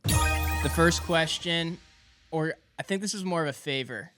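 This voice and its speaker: Male podcast host, higher-pitched and bright, forceful, casual upbeat chat. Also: measured pace, faint music behind.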